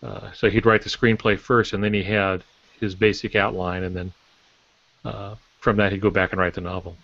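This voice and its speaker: An older man chatting casually as a podcast guest, telling anecdotes and pausing to search for words. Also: fairly low pitch, little pitch movement, vocal fry, a bit bright. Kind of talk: casual panel talk, anecdotes. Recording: dull lo-fi roomy online audio.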